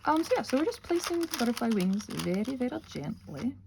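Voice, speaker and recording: A woman's voice: a little high, big pitch swings, fairly quick, creaky, noisy background. A woman casually hosting a craft demonstration, talking in a hesitant, meandering way with self-deprecating asides. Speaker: craft channel host.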